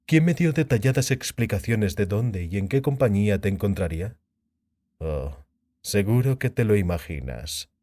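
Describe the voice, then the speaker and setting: A man's voice: lowish voice, lively intonation, moderate pace, warm tone, natural voice. A man voicing a venomous, mocking half-elf thug in a dramatized fantasy audiobook. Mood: gloating menace. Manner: menacing, mocking.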